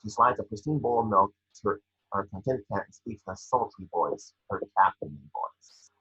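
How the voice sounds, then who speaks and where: A man's voice: on the low side, moderate pace, bright, forceful tone, husky, muffled recording. A man reading screenplay scene directions aloud as narrator, stumbling over words now and then.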